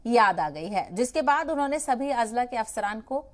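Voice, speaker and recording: A woman's voice: on the high side, animated, racing. A woman presenting scripted news in a formal broadcast delivery. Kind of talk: scripted Urdu news narration.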